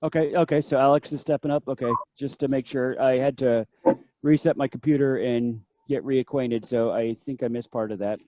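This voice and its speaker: A man chairs a meeting on a video call and checks details aloud in an easygoing, casual way. His voice sits at an ordinary pitch. The call audio is dry and dull. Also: fairly even intonation, normal speed, warm tone, no echo.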